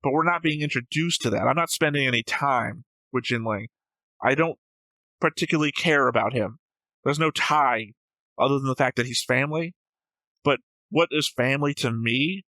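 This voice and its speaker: A man's voice: medium pitch, sharp tone, no echo, poor audio. A man talking casually as a reaction show host, opinionated and analytical, with a blunt, flippant way of speaking.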